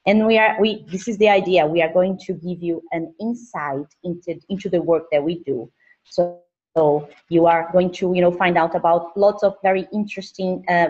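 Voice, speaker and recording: A woman's voice: on the low side, a little mellow. Woman podcast host on dull call audio. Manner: hesitant, restarts sentences. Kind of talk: podcast interview. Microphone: home setup over digital platform.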